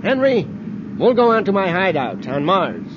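Fugitive space crook, urgent, nervous, higher-pitched, 1950s radio serial, noisy dull recording. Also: male voice, very animated, normal speed. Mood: calculating. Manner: agitated, urgent.

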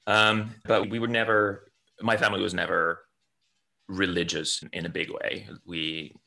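A man's voice: low-pitched, level tone, a little slow, creaky, sharp tone. A middle-aged man from Finland, talking about his life as an interview guest, often restarting and repeating words. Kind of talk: casual interview talk.

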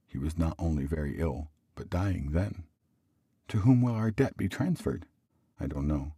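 A man voicing an earnest, relieved husband in debt in a storybook reading, speaking in a measured way. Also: a bass voice, lively intonation, dark tone, breathy edge, no room sound, clean studio sound, exaggerated voice.

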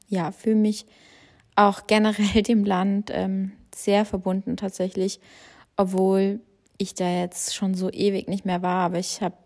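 A woman's voice: mid-range voice, creaky, a little mellow. A young woman hosting her own podcast, chatting casually and rambling with lots of fillers and stray English words. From born in Australia, raised in Germany. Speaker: podcast host. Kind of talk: casual solo podcast chat.

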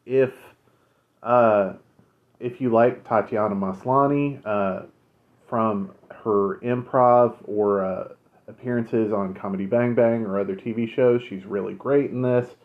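Low voiced podcast guy, lots of ums, tinny phone sound. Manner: relaxed, many fillers, measured. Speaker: podcast co-host. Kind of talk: casual chat, recommending shows.

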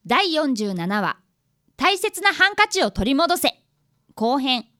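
A polite young boy apprentice in a radio drama, acted by a woman. The voice is high and crisply bright, with animated swings in pitch. He sounds earnest and well-mannered. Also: slow, dry recording, clean studio sound, full character voice. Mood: earnest narrating. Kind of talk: radio drama acting and narration.

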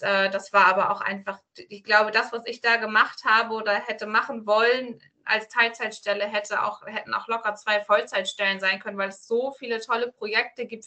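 A woman's voice: medium pitch, sharp tone. A woman from northern Germany answering interview questions as a guest in a casual, chatty, loosely strung way. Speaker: podcast guest, self-employed virtual assistant.

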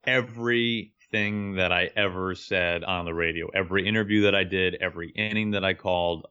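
A young man with a deep voice, an earnest, reflective baseball broadcaster telling his story as an interview guest. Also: fairly even intonation, normal speed, pushed and bright, clear, resonant tone, muffled recording.

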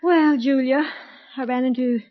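Prim middle-aged aunt, anxious, fairly high voice; lo-fi radio drama. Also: female voice, fairly even intonation, measured pace. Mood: hesitant. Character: fretful, conventional aunt, prim and uneasy. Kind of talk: mid-century radio drama acting. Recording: dull lo-fi old radio recording.

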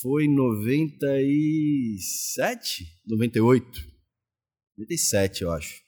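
A man in his forties with a Brazilian accent and an average-pitched voice. He talks casually as a guest, in informal, slangy language, as if among friends. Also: lively intonation, measured pace, warm tone. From Salvador, Bahia, Brazil; moved to São Paulo state as a child. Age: 40s. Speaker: interview guest. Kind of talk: casual chat.

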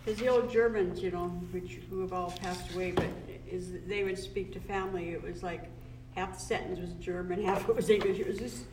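A woman's voice: fairly low pitch, fairly even intonation, fairly quick, heavy background noise. A woman in the audience, chatty and curious, asking the speaker questions in casual conversation.

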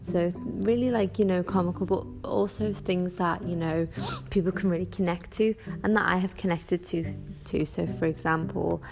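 A woman with a Scouse accent hosting a casual solo podcast, rambling and chatty with many ums and quick self-corrections. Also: fairly low pitch, steady tone, creaky, over music. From Northwest England. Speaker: podcast host.

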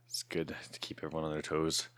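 A man in his thirties hosting a podcast in a casual chat, his voice raspy and hoarse from shouting, rambling with fillers and laughs. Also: very deep voice, completely flat delivery, fast, a little hiss or hum. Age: 30s. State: hoarse from shouting the night before. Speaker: podcast host.

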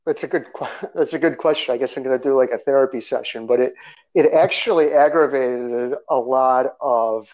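A man's voice: mid-range voice, normal speed, a little mellow. A man from Russia, a guest author talking about his background in a loose, rambling way with fillers and small laughs. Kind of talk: conversational interview. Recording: slightly dull lo-fi audio.

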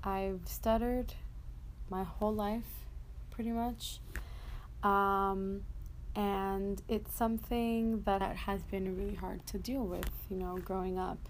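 A young adult woman hosting a personal motivational podcast, speaking casually and candidly in a halting way with an occasional stutter. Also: medium pitch, flat delivery, poor audio. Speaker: podcast host. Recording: noticeable background noise.

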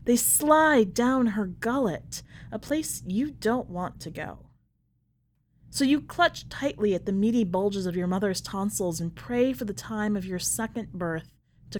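Slow, measured woman narrating fiction. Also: mid-range voice, a little hiss or hum. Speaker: podcast editor reading a short story. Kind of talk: scripted literary narration.

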